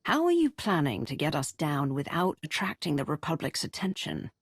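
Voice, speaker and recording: An actress playing a cool, commanding Sith commander in a video game, direct and controlled. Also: female voice, sits low, big pitch swings, moderate pace, slightly rough, no echo, clean studio sound, exaggerated voice.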